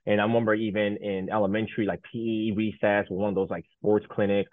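A man in his thirties from Taiwan, a native Mandarin speaker, talking as an interview guest in a lowish voice. He rambles and fills his sentences with fillers and restarts. The sound is dry and tinny, like a phone line. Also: little pitch movement, fast talker, dry recording. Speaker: guest, Paralympic sled hockey goaltender. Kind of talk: casual interview chat.

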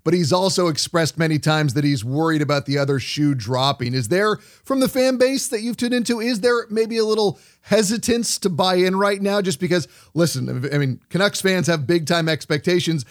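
A man's voice: high voice, normal speed, hardly any pauses. A man hosting a sports radio show, giving commentary and conducting interviews.

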